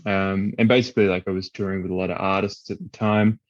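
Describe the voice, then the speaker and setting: A man's voice: low voice, fairly even intonation, fast talker, no room sound, muffled recording. A man with an Australian accent, a guest on a podcast, chatting in an easygoing way with lots of fillers. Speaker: guest, band company manager. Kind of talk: casual podcast chat.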